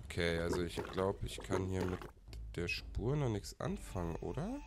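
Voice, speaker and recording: Low-voiced man in his thirties, chatty gameplay commentary, a bit stuffy from a cold. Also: big pitch swings, normal speed, noisy background. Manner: chatty, talks to viewers. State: has a cold. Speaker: let's player. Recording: voice over game music.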